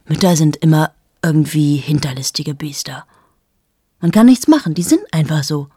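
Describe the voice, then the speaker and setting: An actress in her thirties playing a cynical, sharp-tongued journalist in a radio play monologue, speaking in sardonic, confiding asides to the listener. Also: low voice, lively intonation, normal speed, husky, dry recording, clean studio sound. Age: 30s. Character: cynical 38-year-old woman journalist, sharp-tongued, mocking.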